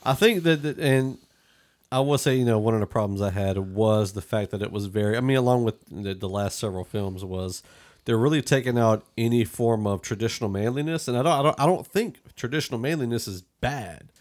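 A man's voice: medium pitch, a little fast, darkish tone. A man hosting a podcast film discussion, speaking casually and giving opinions that he qualifies as he goes. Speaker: podcast host.

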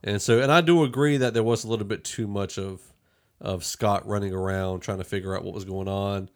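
A man's voice: fairly low pitch, fairly even intonation, brisk. A man hosting a podcast, talking through a film review in a rambling, conversational way. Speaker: podcast host. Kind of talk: casual movie review discussion.

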